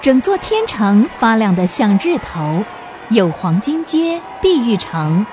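A woman's voice: fairly high pitch, very animated, takes it slowly, a little mellow, slight background noise. A woman narrating a radio drama as a storyteller, reading from a script.

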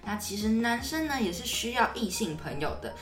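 A woman's voice: on the high side, very animated, fairly quick, music in the background. A woman co-hosting a podcast, explaining things in a conversational way with repeated connecting words and agreements. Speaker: podcast co-host. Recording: roomy echo, slightly distant mic.

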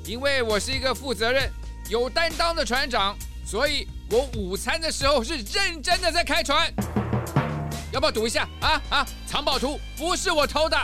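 A woman's voice: high voice, lively intonation, normal speed, over music. A woman voicing a boastful, defensive ship's captain in a children's audio drama, blustering and self-righteous.

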